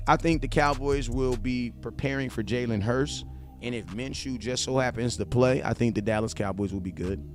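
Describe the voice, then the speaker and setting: A man's voice: medium pitch, normal speed, soft background music. A man, chatting loosely on a live stream, talking straight to his viewers and repeating points for emphasis. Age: 40s. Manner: loose slangy talk, addresses viewers directly, repeats himself for emphasis. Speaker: live streamer, sports fan host. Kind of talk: casual live-stream chat reading viewer comments.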